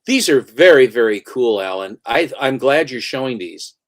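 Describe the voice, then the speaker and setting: A man co-hosting a casual livestream chat, reacting with quick, animated remarks. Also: mid-range voice.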